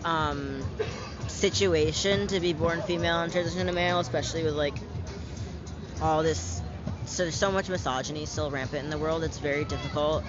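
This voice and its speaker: A man's voice: on the high side, fairly even intonation, moderate pace, highs cut off, music in the background. A young man in his late teens, answering a street interviewer candidly, with lots of fillers and casual swearing. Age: young adult. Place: outdoors in a city park.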